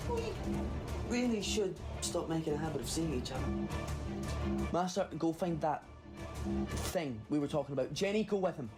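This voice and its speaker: Sci-fi audio hero male actor: cheeky, defiant time traveller, very animated delivery over loud music. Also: a little high, normal speed, pauses often, natural voice. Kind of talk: science fiction audio drama acting.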